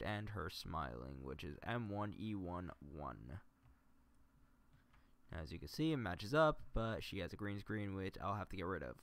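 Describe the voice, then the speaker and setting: A man livestreaming a casual, unscripted tutorial, thinking aloud and muttering to himself as he works. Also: low-pitched. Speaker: livestreamer demonstrating video editing. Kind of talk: casual unscripted tutorial commentary while working.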